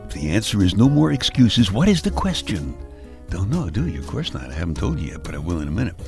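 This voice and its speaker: A man's voice: low voice, fast talker, gravelly, music in the background. A man with a Brooklyn accent giving a wry, scripted comic monologue, chuckling at his own jokes. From Brooklyn, New York. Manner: wry deadpan storytelling with chuckles. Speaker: radio humor host.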